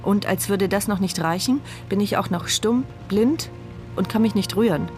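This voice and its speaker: Woman acting, radio drama narrator, inner monologue, bitter, sardonic, reflective, medium pitch, faint music. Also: normal speed, a little mellow, close to an everyday voice. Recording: studio radio play with music underneath.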